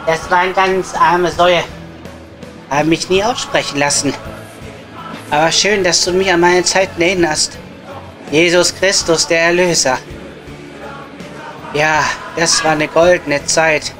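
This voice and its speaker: A man's voice: on the high side, steady tone, unhurried, pauses often, music in the background, close to an everyday voice. A man playing a grandiose, bitter, foul-mouthed showman guest in an improvised comedy audio play. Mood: grandiose, wistful.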